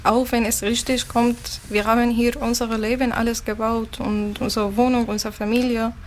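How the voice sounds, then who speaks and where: A woman from Syria, answers questions in a calm, matter-of-fact way. Her voice sits slightly high. A faint hum of room noise runs underneath. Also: normal speed. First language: Arabic. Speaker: interviewee, musician. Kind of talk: interview answers.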